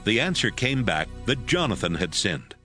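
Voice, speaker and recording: A man's voice: lowish voice, very animated, moderate pace, sharp tone, soft background music. A man narrating scripture in a scripted, dramatized audio Bible style.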